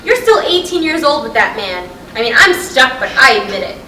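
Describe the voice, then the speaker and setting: A woman's voice: sits high, very animated, moderate pace, sharp tone, exaggerated voice. A woman in a staged play reading, playing a blunt, restless middle-aged wife who is fed up. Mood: exasperated. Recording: echoey room from far off, noisy.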